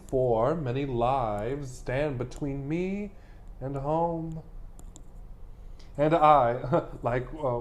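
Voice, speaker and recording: An actor playing a bitter, scheming, misshapen nobleman hungry for the crown, in theatrical Shakespearean soliloquy with brooding, mocking, halting delivery. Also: fairly high pitch, a little mellow. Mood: tormented resolve.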